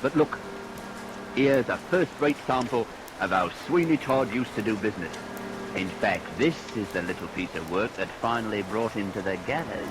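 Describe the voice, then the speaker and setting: Low folksy storyteller played by a man relishing grisly tales. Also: animated, moderate pace, background noise, lightly acted. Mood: wry relish. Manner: colloquial, relishing. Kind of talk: storytelling narration in vintage radio play. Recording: vintage radio recording with rain.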